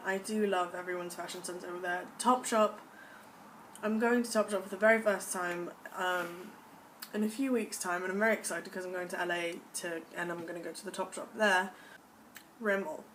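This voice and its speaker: Young woman, American putting on a British accent, gushing, chatty vlog, mid-pitched voice, slightly distant mic, faint background hiss. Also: a bit bright. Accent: put-on British accent. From United States. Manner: gushing, enthusiastic. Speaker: vlogger. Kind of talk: casual talk to camera.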